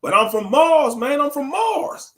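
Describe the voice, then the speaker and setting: A man's voice: very high-pitched, sing-song, takes it slowly, fairly bright tone. Rambling, slangy man on a livestream. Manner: loose, rambling, preachy, slangy. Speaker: livestream commentator. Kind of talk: casual livestream talk answering chat.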